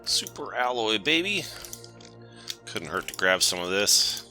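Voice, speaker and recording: A man with a cold gives relaxed let's-play commentary, thinking aloud as he explores. His voice sits very low yet sounds strikingly bright and forceful. Soft game music plays underneath. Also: unhurried. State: has a cold. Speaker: gaming channel host.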